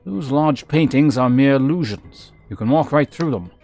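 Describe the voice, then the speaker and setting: A man voicing a humble, gloomy servant of a duke in a fantasy game, giving formal, measured warnings. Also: mid-range voice, darkish tone, soft background music, natural voice. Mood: cautioning. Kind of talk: fantasy game character dialogue.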